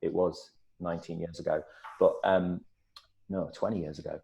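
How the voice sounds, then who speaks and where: A middle-aged man, an actor, telling stories in a casual interview, lively and full of restarts. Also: low voice, moderate pace, gravelly, dull audio, a little hiss or hum. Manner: animated storyteller, many restarts and repeated words. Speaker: actor guest.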